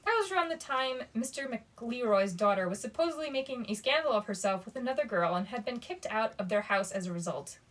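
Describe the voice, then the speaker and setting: A woman's voice: fairly high pitch, lively intonation, fairly quick, few pauses, fairly bright tone. Woman reading a story aloud. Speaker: reader of a bedtime story. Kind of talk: expressive audiobook-style narration of young adult fiction.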